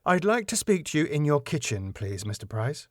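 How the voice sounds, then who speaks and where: A man's voice: on the high side, big pitch swings, moderate pace, no room sound, studio quality, voice pushed up. A man voicing a stern female council inspector in a sitcom, formal, clipped and pompous. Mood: insistent. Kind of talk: sitcom script read aloud.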